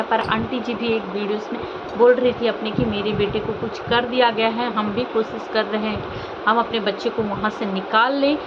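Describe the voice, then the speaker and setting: A woman from India vlogging informal, opinionated commentary to viewers in an emotive way, with rhetorical questions and repetition. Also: on the high side. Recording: dull lo-fi audio, loud vehicle noise.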